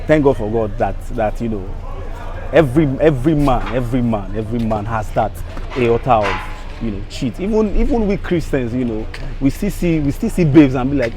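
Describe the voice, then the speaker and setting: A Nigerian man giving his opinion casually, often repeating his phrases as he goes. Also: medium pitch. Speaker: street interview respondent.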